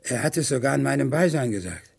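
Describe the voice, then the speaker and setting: An actor playing a distraught, haunted murder suspect in a German radio crime play, speaking in a halting, repetitive, anguished way. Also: male voice, medium pitch, lively intonation, vocal fry, husky, exaggerated voice. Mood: insistent.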